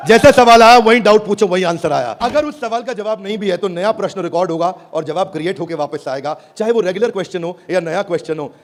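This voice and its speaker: Theatrical high-voiced man on stage. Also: fast, hardly any pauses. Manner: theatrical, calls out to the audience and prompts replies; swings between booming and hushed delivery. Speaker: business speaker presenting a product launch. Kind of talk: high-energy stage presentation. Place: stage before a live audience. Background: audience.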